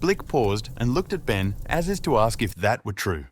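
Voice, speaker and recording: A man narrating a fairytale story in an expressive, animated reading style. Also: lowish voice, moderate pace, a little hiss or hum.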